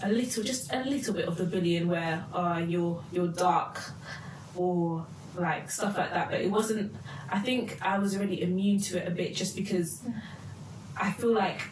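A young woman, born in Germany, answering interview questions with many pauses and ums. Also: fairly low pitch, a little mellow. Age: young adult. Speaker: guest. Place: living room, indoors. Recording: echoey room from far off, faint noise.

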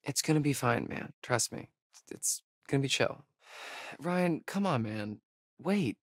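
Animated, wisecracking young American camp counselor. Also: male voice, mid-range voice, no room sound, clean studio sound. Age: young adult. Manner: laid-back, wisecracking, slangy. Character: joking, sardonic young male summer camp counselor. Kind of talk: naturalistic video game acting.

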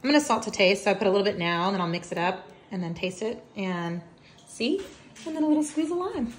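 A woman's voice: medium pitch, animated, quick pace. A young woman in her home kitchen explaining a recipe step by step, upbeat and casual. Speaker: vlogger, trucker wife. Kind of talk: casual cooking vlog narration. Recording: echoey kitchen, faint hiss.

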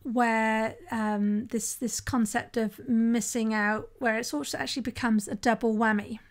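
Chatty woman with a bright voice. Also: fairly high pitch, steady tone, a little slow. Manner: conversational, often asks "right?", occasional restarts. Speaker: podcast host and sober-living coach. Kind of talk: solo podcast monologue.

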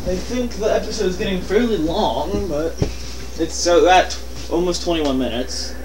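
A man's voice: high voice, unhurried, noisy background. A man giving casual, wisecracking gameplay commentary, explaining things to his co-player as he goes. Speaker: let's play co-commentator. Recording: distant mic with game music behind. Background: video game music and sound.

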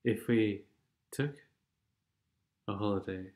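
A man's voice: lowish voice, slow pace, a little mellow. A man with a stuffed-up nose from a cold, giving sardonic, rambling commentary over game replays. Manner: sardonic, rambling, sings snatches of songs. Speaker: gaming commentator.

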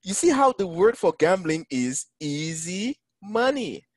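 A man teaching an online Quran lesson, engaging his listeners and reciting Arabic verses quickly. English is not his first language. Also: sits high, sing-song, no room sound, clean studio sound. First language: neither Arabic, English nor French. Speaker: imam teaching a Quran class. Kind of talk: online Quran lesson over a video call. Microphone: video call.

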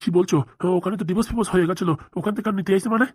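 A woman's voice: medium pitch, fairly even intonation, racing, darkish tone, husky, no echo, clean studio sound, lightly acted. A woman voicing a naive, easily alarmed older male professor in a cartoon. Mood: confused. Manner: confused, trusting. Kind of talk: cartoon voice acting.

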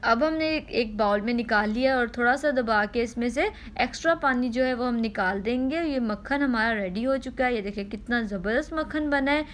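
A woman host, giving a patient step-by-step cooking demonstration at home, repeating instructions and pausing with "ah" between steps. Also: a little high, slight background noise.

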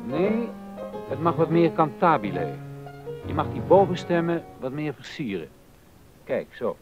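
Man as exacting music-teacher father, swooping pitch. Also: big pitch swings, a little slow, dull audio. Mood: instructing. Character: exacting father giving his son a keyboard lesson. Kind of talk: scripted radio drama dialogue. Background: keyboard music.

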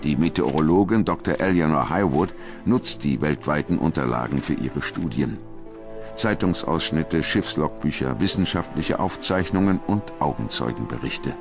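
Male narrator, deep low voice, measured grave documentary reading, music underneath.

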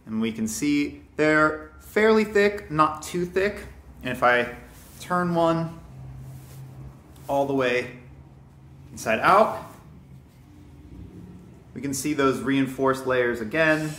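A man's voice: on the high side, slow, pauses often. Young man reviewing in an echoey room. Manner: relaxed, with frequent ums and restarts. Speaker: product reviewer. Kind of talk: casual product review. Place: bare indoor room with wooden floor. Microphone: camera mic, near then from a distance. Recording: echoey room, faint hiss.

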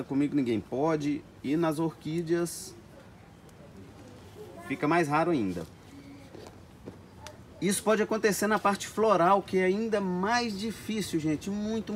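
A man's voice: a little high, unhurried, a little hiss or hum. A man, an orchid grower talking casually to the camera inside a greenhouse and often turning to address his viewers. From Brazil. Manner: chatty, keeps addressing viewers as "gente". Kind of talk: casual talk to camera with growing tips. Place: indoors in a greenhouse full of orchids.

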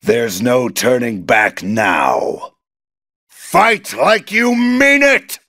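Ruthless game wizard: menacing, very deliberate pacing, dry close sound. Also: male voice, mid-range voice, wildly swooping pitch, bright, forceful tone, no room sound, heavily acted. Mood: goading. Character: obsessed male wizard villain, bitter and ruthless. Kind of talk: video game villain acting.

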